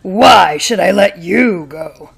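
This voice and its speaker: A big, boastful lion from a children's puppet show, performed by a woman. The voice is gravelly and very slow, with wide dramatic swings and theatrical roars. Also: mid-range voice, lively intonation, pitched below the performer's own voice, full character voice. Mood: haughty amusement. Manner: melodramatic, roaring and drawn-out.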